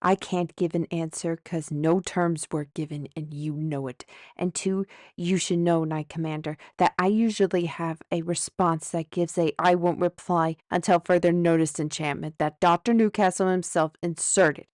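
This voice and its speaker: A woman playing a defiant, sharp-tongued steward in a fantasy audio drama, speaking in a sardonic, outspoken way. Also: on the low side, fairly even intonation, moderate pace, dry recording, clean studio sound, lightly acted. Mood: indignant.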